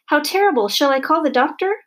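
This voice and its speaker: Reader lady doing a flustered storybook mom, high voice, anxious and pleading, gets upset easily. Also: expressive, a little fast. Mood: shocked. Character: flustered, tearful, anxious housewife and mother.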